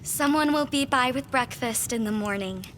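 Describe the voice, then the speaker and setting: An actress playing a deferential young apprentice in a gothic period audio drama, polite and careful with formal phrasing. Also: female voice, on the high side, normal speed, fairly bright tone, slight background noise, close to an everyday voice. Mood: careful, uneasy.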